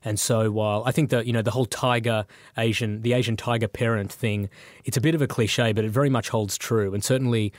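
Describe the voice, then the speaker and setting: A man speaks as an interview guest, his voice a touch low. He is reflective and unhurried, often pausing on um and uh as he thinks. The recording is close and dry, with no room sound. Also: steady tone, no room sound. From Sri Lanka, raised in Australia. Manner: thoughtful, with frequent um and uh.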